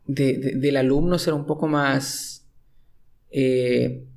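A young Chilean man with a gravelly, creaky voice hosts a relaxed podcast chat. He speaks in a mid register, circling back and restarting his sentences as he thinks. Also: mid-range voice, takes it slowly, slight background noise. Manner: hesitant, restarts and fillers. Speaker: podcast host. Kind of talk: casual conversational podcast.

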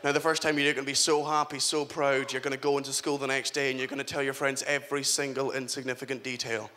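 A man's voice: mid-range voice, level tone, quick pace, sharp tone, lightly acted. A young man playing his blunt, middle-aged father giving an awkward talk in a matter-of-fact tone. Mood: earnest advice.

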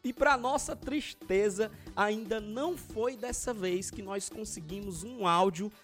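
A man with a Northeastern Brazilian accent from Ceará, co-hosting a podcast in lively, teasing casual banter. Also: sits high, unhurried, faint music behind. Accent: Northeastern Brazilian Portuguese, Ceará. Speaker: podcast co-host.

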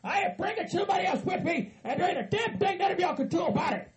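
A man voicing an angry, foul-mouthed Southern hillbilly who rants and shouts as if deeply wronged. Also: big pitch swings, a little fast, creaky, slight background noise, exaggerated voice. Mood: defiant, furious. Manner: hot-tempered, shouting, profane.

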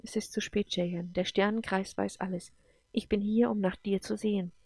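A woman voicing a sorrowful, guilt-ridden woman in love in an audiobook, soft, earnest and emotional. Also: mid-range voice, steady tone, normal speed, creaky, no room sound. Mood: grave, tender.

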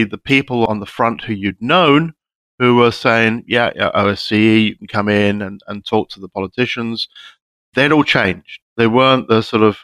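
A man with a lowish voice tells his field experiences steadily and plainly. The sound is dry and close, as on a call. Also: a bit bright, no room sound. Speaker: former international monitor. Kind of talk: recounting experiences in conversation. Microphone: call microphone.

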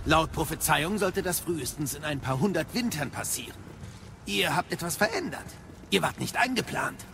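A man's voice: on the high side, expressive, normal speed, vocal fry, noisy background. A man playing a wry, grumbling wise advisor in a game-based audio drama, wordy and sardonic. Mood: ominous warning. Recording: loud music behind the voice.

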